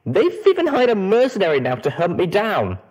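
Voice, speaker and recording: A performer voicing a weary, defiant, cocky female pegasus hero with a husky voice in a storybook reading. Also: mid-range voice, wildly swooping pitch, a little fast, a little mellow, lo-fi sound, voice pushed up. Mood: bitter, defiant.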